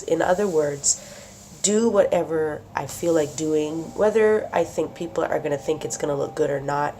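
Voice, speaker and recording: Young woman vlogger, hoarse and sniffly from a cold, chatty and giggly, low gravelly voice. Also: dark tone, slight background noise. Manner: chatty, laughs often. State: losing her voice, sick and sniffly. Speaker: craft vlogger. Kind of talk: casual show-and-tell.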